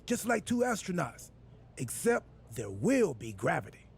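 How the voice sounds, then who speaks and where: Gravelly, high-voiced oblivious wrestling boss. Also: male voice, huge pitch swings, unhurried, heavily acted. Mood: upbeat, self-important. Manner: rambling, absurdly literal, unbothered by insults. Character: quirky, oblivious wrestling general manager, cheerfully self-important man.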